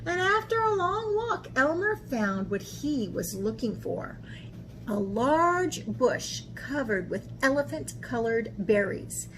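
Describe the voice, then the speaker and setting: High-pitched woman reading slowly to children. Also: a little hiss or hum, poor audio. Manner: animated, asks listeners questions. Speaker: art teacher and host. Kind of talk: children's picture-book read-aloud.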